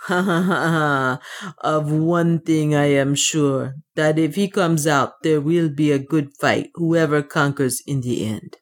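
A woman's voice: sits low, slow. A woman voicing a loyal, boastful male dwarf warrior in an audiobook, with a grim, emphatic manner. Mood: gleeful. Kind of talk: audiobook character dialogue.

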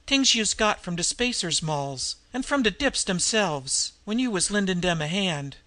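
A reader voicing a delirious female street tough who croaks out harsh, slangy threats. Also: mid-range voice, expressive, a little slow, sharp tone. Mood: mumbling. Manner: snarling, threatening.

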